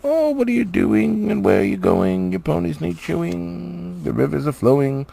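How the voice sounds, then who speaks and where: Playful storybook elf acted by a man: raised pitch, swooping singsong delivery, teasing. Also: big pitch swings, moderate pace, creaky, mellow, exaggerated voice. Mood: merry singing. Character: merry, teasing, singing male elves of the woods. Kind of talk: storybook character voice.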